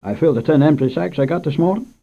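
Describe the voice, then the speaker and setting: A man reading aloud and voicing a kindly older male country storekeeper with folksy, gentle speech, in a muffled old radio recording. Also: fairly high pitch, expressive, fast, gravelly, voice pushed up.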